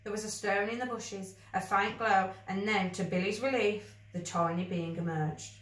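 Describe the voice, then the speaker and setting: A woman reading a children's story aloud with expressive narration. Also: mid-range voice, normal speed. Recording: roomy, echoey, distant microphone.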